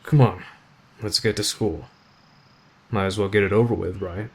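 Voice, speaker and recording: A man acting in an ASMR roleplay as a gruff, aloof teenage delinquent, deadpan and terse but protective of a friend. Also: fairly low pitch, moderate pace. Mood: gruffly caring.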